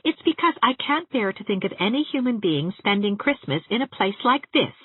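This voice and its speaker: A woman voicing a warm, outspoken young woman with an earnest, gushing way of talking, in an audiobook. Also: mid-range voice, very animated, moderate pace, husky, no echo, narrow, tinny audio, pitched above the performer's own voice. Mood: earnest, candid. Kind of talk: audiobook character acting.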